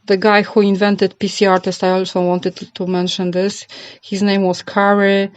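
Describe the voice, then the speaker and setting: A woman's voice: mid-range voice, flat delivery, moderate pace, clear, resonant tone. A middle-aged woman from Poland with a Polish accent, giving a casual opinion monologue to her channel in a hesitant, rambling way with frequent repeats. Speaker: channel host. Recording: roomy, slightly dull home recording.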